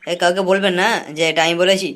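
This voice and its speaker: A man's voice: high voice, little pitch movement, fast talker, a bit bright. A man voicing a cagey, money-minded young informer in a comic cartoon, wheedling and chatty. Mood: eager, bargaining.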